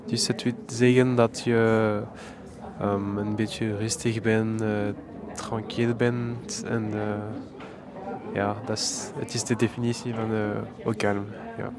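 A man slowly explaining a phrase, halting with many fillers. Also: fairly low pitch, flat delivery. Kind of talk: casual explaining. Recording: crowd chatter behind.

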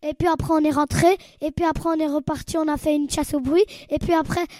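Young girl doing a chatty radio recap, high voice, pretty dry sound, keeps repeating herself. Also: steady tone, fast, mellow, no room sound. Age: child or young teen. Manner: repetitive, searching for words. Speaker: youth radio presenter. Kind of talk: casual recap, vlog-like chat.

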